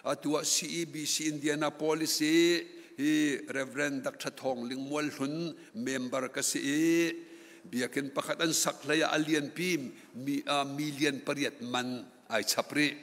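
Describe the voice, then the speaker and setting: A man's voice: a little high, fairly even intonation, a little slow, fairly bright tone. A middle-aged man preaching a sermon from a pulpit microphone in a large church hall, rising to emphatic passages. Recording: long church hall reverb.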